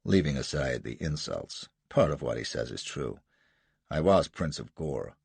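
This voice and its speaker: A man reading aloud and voicing a cool, defiant American rogue adventurer who speaks low and clipped. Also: animated, a little slow, creaky, darkish tone, a little hiss or hum, full character voice.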